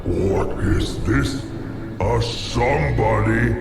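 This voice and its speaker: An actor playing a haughty, gloomy creature gatekeeper in a dark fantasy game, pompous, creaky and very drawn-out. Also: male voice, fairly low pitch, exaggerated voice. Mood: haughty, hostile. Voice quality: heavily creaky. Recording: echoey, distant, music behind.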